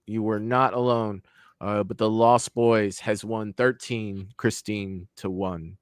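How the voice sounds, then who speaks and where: A man's voice: on the low side, steady tone, slow pace, clean tone, no echo, clean studio sound. Laid-back man hosting a live chat. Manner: laid-back, laughing, swears casually. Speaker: host. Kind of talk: casual live-stream chat.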